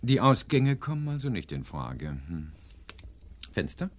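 An actor playing an imperious, pedantic professor detective in a German crime radio play, questioning curtly and with great self-assurance. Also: male voice, on the low side, very animated, a little slow, slight background noise. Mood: curt, probing.

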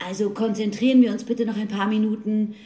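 A woman's voice: medium pitch, level tone, brisk, dark tone, close to an everyday voice. A woman playing a polite, organised teacher who addresses the audience at a school reading night in a radio play. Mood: polite, announcing. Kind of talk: children's radio play acting. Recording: roomy hall with faint audience noise.

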